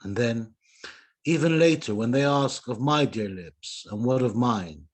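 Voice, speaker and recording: A man's voice: medium pitch, lively intonation, unhurried, husky. A man speaking as a poet at an online reading, sharing his own work and talking informally around it. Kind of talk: poetry reading, then casual thanks.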